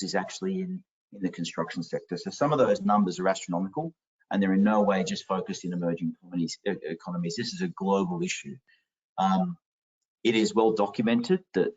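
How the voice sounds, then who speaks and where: A low-voiced man with an Australian accent works through facts and definitions at an even, explanatory pace. The sound is dull and lo-fi, and he sits a little back from the mic. It is a video call from a home office. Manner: fact-heavy, explanatory, steady. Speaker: interviewee, sustainability executive. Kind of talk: webinar interview answering. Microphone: headset. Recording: lo-fi distant video call audio.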